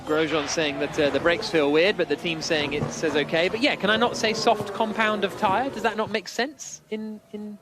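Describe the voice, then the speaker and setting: A man's voice: high voice, level tone, moderate pace, few pauses, heavy background noise. A man hosting live motorsport radio commentary with casual, jokey banter, often correcting himself.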